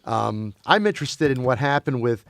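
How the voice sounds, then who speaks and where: A man's voice: medium pitch, lively intonation, moderate pace, vocal fry, dry recording. A man who grew up in Belgium, talking as a guest in a casual conversation, often stopping to restart and repeat his words. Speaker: interview guest.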